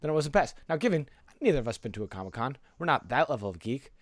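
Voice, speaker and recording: A man's voice: mid-range voice, very animated, rapid-fire, no room sound. A man co-hosting a casual pop culture podcast, talking in a slangy, rambling, enthusiastic way. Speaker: podcast co-host.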